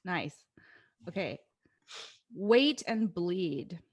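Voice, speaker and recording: Slow-talking woman podcast host. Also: on the low side, animated. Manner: unhurried, hesitant, with fillers and soft laughs. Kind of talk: casual podcast interview.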